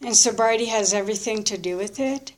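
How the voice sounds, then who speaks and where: A woman in her early fifties giving a candid, unscripted personal testimony in short, halting, reflective phrases. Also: medium pitch. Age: early 50s. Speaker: recovering alcoholic sharing her story.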